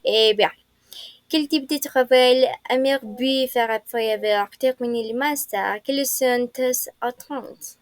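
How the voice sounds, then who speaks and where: Slow-spoken woman interviewer, dry sound. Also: fairly high pitch, dry recording. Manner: slow, polite, formal questioning. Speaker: interviewer in a mock admission interview. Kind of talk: role-played job interview.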